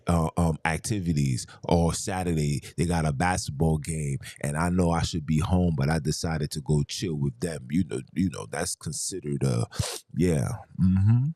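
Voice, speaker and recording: Low, dark-voiced argumentative man. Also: no room sound, clean studio sound. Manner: argumentative, rhetorical questions, emphatic. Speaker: podcast host. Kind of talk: heated monologue.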